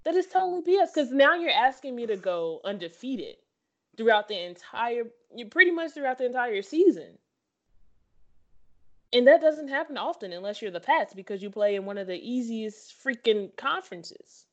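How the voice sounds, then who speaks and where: A woman's voice: fairly high pitch, animated, normal speed, dull audio. A woman co-host in casual sports chat, opinionated and repeating herself for emphasis.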